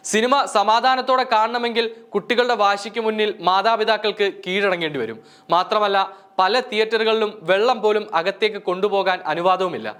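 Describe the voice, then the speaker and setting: A male news narrator with a notably high voice. He reads from a prepared script in the manner of a news report. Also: a bit bright.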